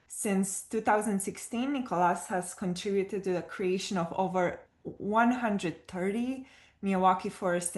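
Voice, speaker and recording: A woman with a Romanian accent moderating an online panel, reading speaker bios with many fillers and stumbling over names, on a video call. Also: on the low side.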